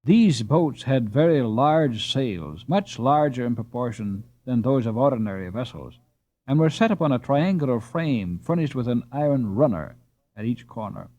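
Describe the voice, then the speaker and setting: A man reading a children's story aloud as an audiobook narrator, with an expressive and deliberate storytelling delivery. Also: mid-range voice. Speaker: audiobook reader.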